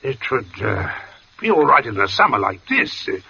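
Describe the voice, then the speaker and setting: An actor playing a huge, sweating gravestone carver in a 1940s radio drama, folksy, sighing and faintly eerie. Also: male voice, mid-range voice, very animated, normal speed, gravelly, sharp tone, husky, exaggerated voice. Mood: affable, weary. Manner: folksy, sighing, heat-weary. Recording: noisy, muffled vintage radio recording.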